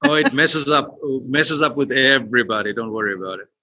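A man's voice: medium pitch, expressive, fairly quick, pushed and bright, no echo. A man from Nepal with a Nepali accent, answering conversationally as an interview guest over a dull, lo-fi connection. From western Nepal. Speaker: podcast guest, tour company founder.

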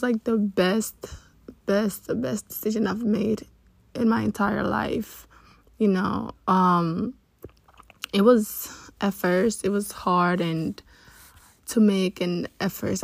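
A young woman in her early twenties hosting a casual solo podcast, chatty and rambling with many restarts, her voice sitting lower than usual after being up for a long time. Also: creaky, a little mellow, no echo. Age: early 20s. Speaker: podcast host.

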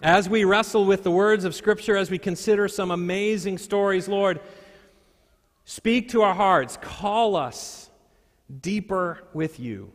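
A male pastor preaching a sermon, reading scripture and telling stories with deliberate, dramatic pauses and emphasis. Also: sits high. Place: large church sanctuary. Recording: echoey hall with long reverb.

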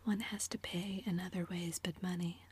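A serious, troubled painter voices his misgivings in a low, even whisper, as a woman narrator puts him on. Faint ambience sits under the hush. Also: moderate pace, a little hiss or hum, close to an everyday voice. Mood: grave.

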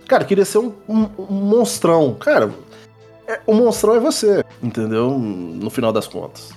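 A Brazilian man with a fairly high, husky voice explains things in a casual podcast conversation. He talks colloquially, repeating himself and tagging on little questions, as music plays softly behind him. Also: sing-song, moderate pace. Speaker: guest psychologist.

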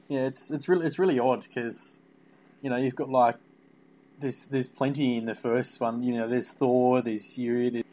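A man's voice: medium pitch, steady tone, moderate pace, lo-fi sound. A man hosting a casual fan interview, rambling and repeating his phrases as he goes. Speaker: podcast interviewer.

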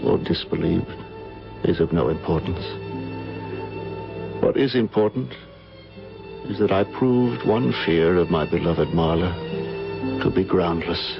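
An actor playing an ardent, devoted suitor, earnest and melodramatic, in an old-time radio drama. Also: male voice, deep voice, slow pace, vocal fry, long pauses, over music, heavily acted. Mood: wistful, resigned. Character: ardent, devoted American suitor, telling his tragic tale. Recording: muffled old radio broadcast.

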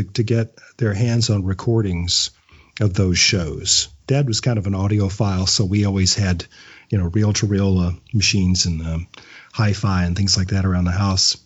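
Husky, chatty middle-aged man, dull sound. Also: on the low side, fairly even intonation. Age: 50s. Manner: chatty storyteller, halting with repeated words, chuckles often. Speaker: podcast guest, audio drama maker. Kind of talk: casual interview chat.

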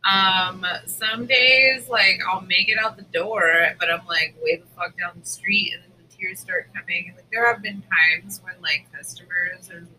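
A woman's voice: medium pitch, normal speed, hardly any pauses, pushed and bright, slight background noise, low-quality recording. A woman co-hosting a podcast, chatting casually and confessionally in a candid, self-deprecating way with plenty of swearing. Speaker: podcast co-host.

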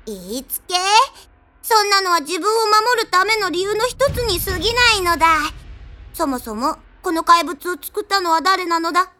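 A woman's voice: very high-pitched, moderate pace, sharp tone. A woman playing a cute, cheeky boy god in an audio comedy, talking in a childlike, quirky way. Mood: pointed. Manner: childlike, quirky repeated sentence endings. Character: cute, cheeky, detached boyish young messenger god. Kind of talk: comedic audio drama acting. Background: music.

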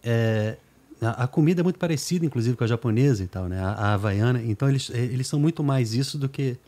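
A man's voice: medium pitch, animated, quick pace, warm tone. A man interviewing a guest in a chatty, rambling way, often checking in with tag questions. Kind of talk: interview conversation.

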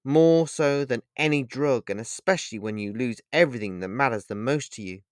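A man's voice: mid-range voice, a little fast, no room sound, clean studio sound, natural voice. A man voicing a mysterious, angel-like stranger who speaks in a solemn, commanding way.

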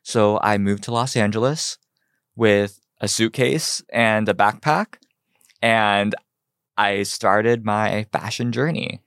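A young man from Ohio, a fashion designer telling his story in an earnest, measured way on a podcast. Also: lowish voice, fairly bright tone, smooth, no room sound, clean studio sound. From United States (Ohio). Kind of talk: podcast interview.

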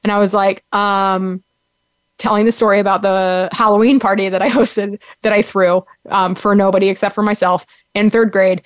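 A woman's voice: mid-range voice, level tone, moderate pace, dry recording. A young adult woman hosting a podcast, chatting casually and upbeat, rambling with lots of "like" and "um". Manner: rambling, upbeat, many fillers like "like" and "um". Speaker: podcast host. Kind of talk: casual chat recap. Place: sitting on the ground.

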